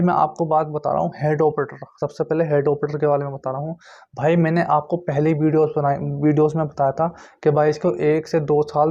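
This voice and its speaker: Man with flat, higher-pitched voice, muffled recording. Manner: repetitive, insistent explaining with frequent check-in tags. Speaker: YouTube host giving exam recruitment updates. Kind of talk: informal explanatory update to viewers.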